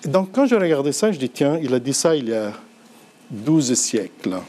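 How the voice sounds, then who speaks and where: Mellow-voiced man giving a talk. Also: medium pitch, sing-song, moderate pace. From Lebanon. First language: Arabic. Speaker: university conference speaker. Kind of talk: conference talk.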